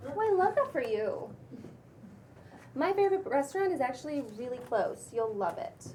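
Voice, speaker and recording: Woman acting a high-voiced breezy trendy LA woman. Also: very animated, normal speed, darkish tone. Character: trendy, breezy young LA woman with celibate housemates. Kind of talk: table read of a TV pilot script. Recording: distant microphone with faint room noise.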